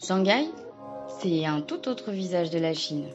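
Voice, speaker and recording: A woman's voice: lowish voice, expressive, unhurried, dull audio. Woman doing laid back travel voice-over, music playing under. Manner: easygoing, personal narration. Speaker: travel show narrator. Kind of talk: voice-over narration.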